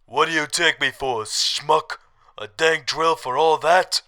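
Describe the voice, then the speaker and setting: A man's voice: fairly high pitch, slow, bright, forceful tone. A man playing a quarrelsome, indignant customer haggling in a blustering way in a radio drama.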